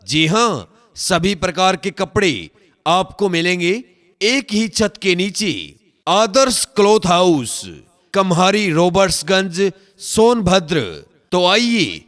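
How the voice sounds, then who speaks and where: Male promo announcer, unhurried and emphatic, phrase by phrase, heavy hall reverb on the recording. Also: high voice, a bit bright. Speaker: advertisement announcer.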